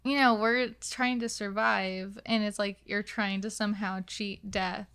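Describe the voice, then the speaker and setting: A woman's voice: mid-range voice, steady tone, measured pace, fairly bright tone, clear, resonant tone. A young woman talking casually and conversationally as a guest on a podcast. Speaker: podcast guest, game designer.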